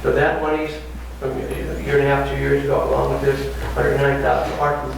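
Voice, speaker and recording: A man with a raspy voice speaking as a mayor addressing a council meeting. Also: mid-range voice, little pitch movement, a little fast. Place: echoey council meeting room. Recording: echoey room, distant mic, clear noise.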